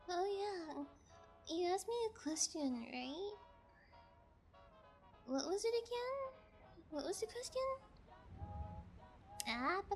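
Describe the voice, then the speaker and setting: A woman's voice: extremely high pitch, exaggerated voice. A woman playing a sadistic, playful female kidnapper in an intimate ASMR audio roleplay, teasing with a sing-song menace. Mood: teasing, impatient.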